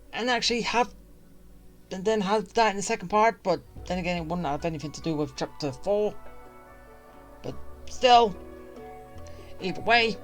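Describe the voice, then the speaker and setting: A game streamer talking casually through gameplay with music playing behind. The delivery is rambling and a little grumbly, like someone thinking out loud. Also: medium pitch. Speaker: gaming streamer.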